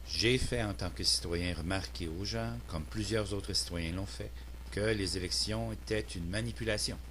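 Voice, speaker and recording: A man's voice: deep voice, moderate pace, a bit bright, slight background noise. A man with a Québécois French accent delivering a prepared political opinion monologue in a formal, earnest, declamatory manner. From Quebec, Canada. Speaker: citizen political commentator.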